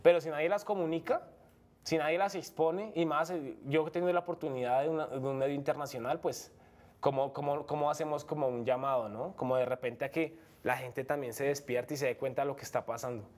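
A man's voice: a little high, steady tone, a little fast, a little mellow. A young man from Colombia with a Bogotá accent, an MMA fighter speaking frankly as a guest in a studio interview, full of fillers and restarts. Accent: Bogotá Colombian Spanish. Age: young adult. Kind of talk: casual interview talk. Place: TV studio set.

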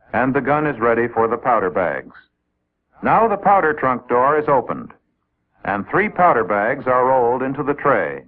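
An American man narrating a 1950s military training film in a methodical, explanatory manner, addressing trainees directly. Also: medium pitch. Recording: old 1950s film soundtrack, tinny narrow band.

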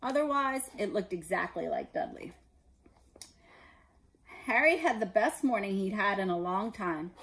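A woman in her forties reading a children's story aloud as an expressive narrator. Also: medium pitch, unhurried, long pauses, poor audio. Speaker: storybook reader. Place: living room indoors.

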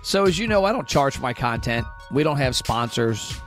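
A man's voice: mid-range voice, lively intonation, normal speed, fairly bright tone. Upbeat man reading a promo over music. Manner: direct, upbeat appeal to listeners. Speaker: podcast host. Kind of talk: scripted promo read.

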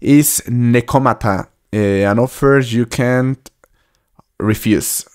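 A man in his twenties giving casual, off-the-cuff reaction commentary in halting, repetitive phrases. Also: mid-range voice, darkish tone, clear, resonant tone. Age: 20s. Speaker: YouTube reaction host. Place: indoor room at a desk, music behind. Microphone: desktop microphone with headset worn. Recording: music playing behind the voice.

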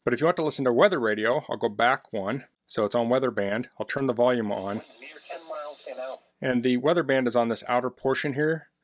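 Man giving a casual, practical product demo. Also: medium pitch. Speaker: product reviewer. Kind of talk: casual hands-on product demonstration. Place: basement, indoors.

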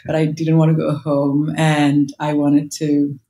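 A low-pitched woman with an Italian accent speaks reflectively, often restarting her sentences. She sounds like she is on a video call with earbuds in a roomy home room. She is in her thirties. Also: completely flat delivery, measured pace, mellow. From northern Italy. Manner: reflective, many restarts and fillers. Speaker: guest. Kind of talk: casual interview chat.

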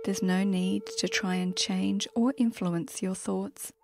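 A woman leading a guided meditation in a slow, gentle, soothing manner with long pauses. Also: mid-range voice, fairly even intonation, creaky.

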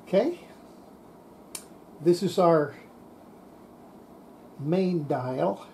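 An older man giving an unscripted hands-on repair demonstration, halting and muttering to himself as he works at a bench. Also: a little high, gravelly, a little mellow, slight background noise. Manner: halting, muttering to self while working. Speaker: radio repair hobbyist, presenter. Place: indoor workbench.

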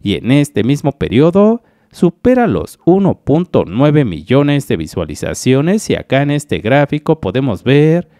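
A man's voice: medium pitch, dry recording. Guy from mexico going thru youtube money numbers, bouncy tone, dead dry sound. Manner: lively explanatory, repeats "mis queridos amigos". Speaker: YouTube channel analyst host. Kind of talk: screen-recorded earnings analysis voice-over.

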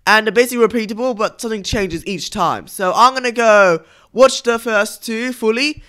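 A man hosting a gaming video, giving casual, halting commentary over cutscenes. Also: high voice, sharp tone, clear, resonant tone.

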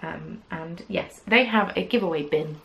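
A woman's voice: fairly low pitch, measured pace, vocal fry, a bit bright, slight background noise, low-quality recording. A young woman hosting a knitting podcast, chatting casually to camera from a small craft room, rambling with lots of "um"s and playful asides. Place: small indoor craft room with yarn shelves. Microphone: camera at desk distance.

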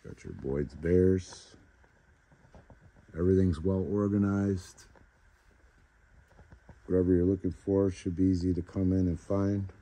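A man's voice: low voice, fairly even intonation, a little slow, pauses often, dark tone. A man giving a casual, laid-back store tour in short remarks, recorded on a handheld camera inside a large store. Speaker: vlogger, toy store tour host. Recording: clear background store noise.

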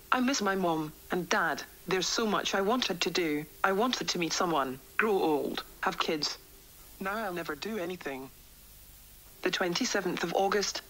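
A woman playing a doomed, infected doctor recording a somber, measured diary in an analog horror story. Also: fairly low pitch, fairly bright tone, low-quality recording. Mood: despairing, wistful.

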